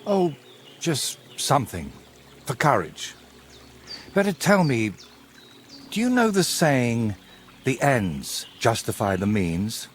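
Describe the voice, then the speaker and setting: An actor playing a brooding, secretive medieval painter in a historical video game, wry, teasing and evasive. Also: male voice, medium pitch, very animated, takes it slowly, long pauses, slight background noise. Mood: earnest, secretive. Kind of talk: dramatic dialogue in a cinematic historical game.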